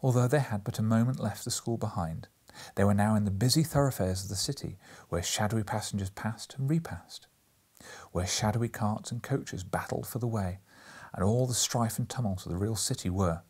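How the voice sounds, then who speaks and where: A man reading a classic story aloud as an audiobook narrator, expressive and theatrical with breathy emphasis. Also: on the low side, fairly quick.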